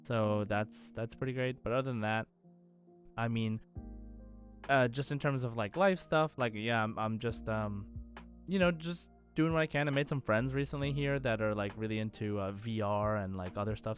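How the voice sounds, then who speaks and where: A man co-hosting a gaming podcast, talking in a casual, conversational way. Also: fairly low pitch. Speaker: podcast co-host.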